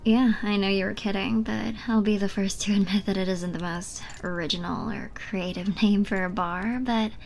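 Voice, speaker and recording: A woman playing a flirty, teasing bartender in a soft, breathy ASMR roleplay, speaking close to the listener and often dropping to a near-whisper. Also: mid-range voice, fairly even intonation, a little hiss or hum, lightly acted. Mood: wry, playful. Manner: playful, giggly, unhurried flirting.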